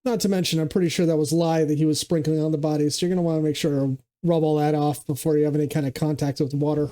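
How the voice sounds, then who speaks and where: A man's voice: fairly high pitch, level tone, fast talker, dark tone, faint music behind. Older man joking through a film reaction. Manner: joking, profane, talks back at the screen. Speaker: movie reaction host. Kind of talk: casual movie reaction commentary.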